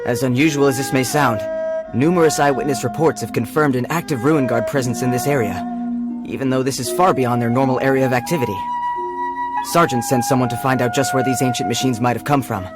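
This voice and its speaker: An actor playing an earnest, dutiful city guard in a video game, speaking in a formal, official way. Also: male voice, medium pitch, steady tone, fairly quick, close to an everyday voice. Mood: grave, dutiful. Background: game music.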